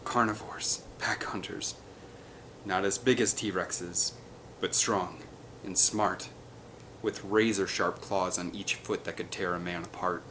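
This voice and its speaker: A man voicing a grave, knowing expedition leader in an audiobook, with a measured, ominous delivery. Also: low-pitched, steady tone, bright, forceful tone, slight background noise, exaggerated voice, poor audio.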